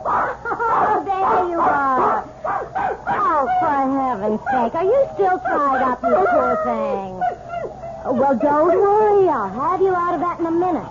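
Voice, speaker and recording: High-voiced, fluttery housewife. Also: female voice, very animated, takes it slowly, full character voice. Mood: searching, cooing. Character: outwardly sweet, secretly vengeful housewife with a dog. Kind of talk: 1970s radio drama acting. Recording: muffled, noisy old radio recording.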